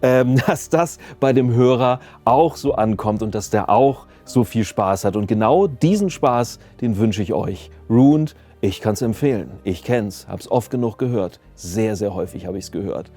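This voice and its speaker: Man with a mellow voice over music. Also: lowish voice, lively intonation, a little slow, few pauses. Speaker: audio drama sound designer and mixer. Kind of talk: casual interview reflecting on own work. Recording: music playing behind the voice. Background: background music.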